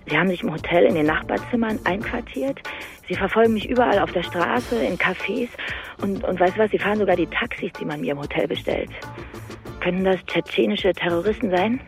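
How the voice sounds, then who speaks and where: A woman's voice: lowish voice, vocal fry. An actress playing an alert, suspicious woman who presses for answers in a German radio drama. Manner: insistent, questioning.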